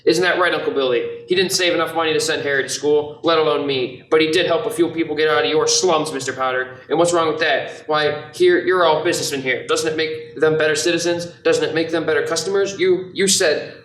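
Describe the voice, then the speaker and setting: A young man playing an earnest, restless small-town dreamer with halting, insistent delivery in an amateur radio play. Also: fairly high pitch, fairly even intonation, a bit bright, lightly acted. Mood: indignant. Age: young adult. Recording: echoey room, distant mic, some noise.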